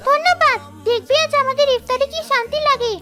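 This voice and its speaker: A woman's voice: very high voice, brisk. A woman voicing an earnest young schoolgirl in a cartoon story, with an emotive, scripted delivery. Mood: happy, grateful. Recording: music behind the voice.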